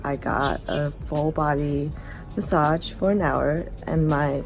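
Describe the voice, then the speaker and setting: A young woman chatting casually to camera as a travel vlogger, relaxed and drowsy, recorded at arm's length indoors. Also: sits low. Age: young adult. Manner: relaxed, slow, drowsy after a massage. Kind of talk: casual vlog chat to camera. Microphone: camera held at arm's length. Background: background music.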